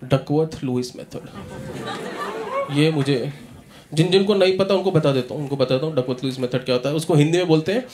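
A man in his thirties doing a stand-up comedy set on a club stage, telling animated stories and acting out characters into a handheld mic. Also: a little high, brisk, some chatter behind. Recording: live club audio with audience noise. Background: audience laughter.

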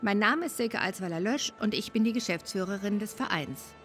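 A woman's voice: medium pitch, a little fast, faint music behind. A German woman hosting an interview podcast in a room of an opera house, reading a formal welcome and then asking questions. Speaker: podcast host. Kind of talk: scripted intro then interview.

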